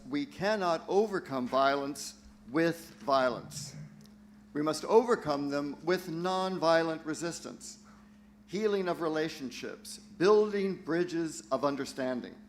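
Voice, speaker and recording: A man's voice: a little high, animated, slow pace, slight background noise. A man playing an earnest, admonishing peacemaker disciple in a dramatic reading for a church play.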